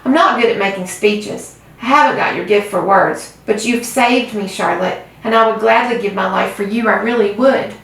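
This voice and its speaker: A woman's voice: mid-range voice, normal speed, vocal fry, few pauses, a bit bright. A woman voicing an earnest, anxious young male pig who pleads and cries out, recorded in a very echoey room from a distance. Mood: pleading.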